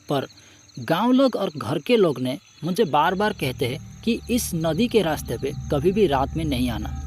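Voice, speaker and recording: Higher-pitched man telling a horror story. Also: a little mellow. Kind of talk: dramatic scripted first-person horror storytelling.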